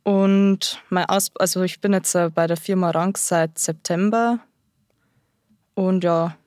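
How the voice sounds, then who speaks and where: A nineteen-year-old woman speaks in a somewhat low voice, groping for words and filling pauses as she answers. The recording is dry and close. She talks casually, as a guest on a podcast. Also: fairly even intonation, a little mellow, no room sound. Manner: hesitant, many fillers and restarts. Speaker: guest, apprentice-trained carpenter.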